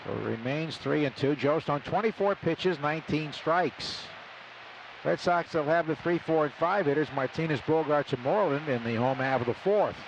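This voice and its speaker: Higher-voiced older American man over loud stadium noise. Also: normal speed, creaky, low-quality recording. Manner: conversational, storytelling between pitches. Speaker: baseball play-by-play announcer. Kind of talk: live radio sports commentary. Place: stadium broadcast booth. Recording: live radio booth with loud stadium ambience.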